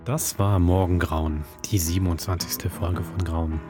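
Male host, very low pitch, conversational, loud guitar music underneath. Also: fairly even intonation, unhurried. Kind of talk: post-episode podcast chat.

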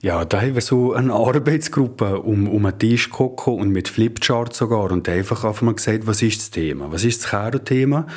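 A man with a Valais Swiss German accent and a husky voice, recounting things spontaneously in an interview. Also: fairly low pitch, normal speed, few pauses, darkish tone. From Valais, Switzerland. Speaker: band member and lyricist being interviewed.

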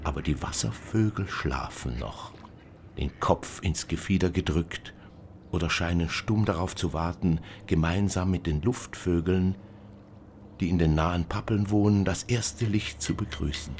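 A man narrating a scripted literary text in a measured, poetic manner. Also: low-pitched, darkish tone, a little hiss or hum.

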